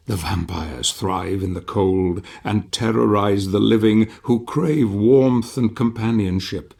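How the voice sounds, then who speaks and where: An actor playing a grave, scheming knightly ally who narrates a dark fantasy game in solemn, measured, formal phrases. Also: male voice, on the low side, gravelly. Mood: solemn, foreboding. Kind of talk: dramatic scripted game narration.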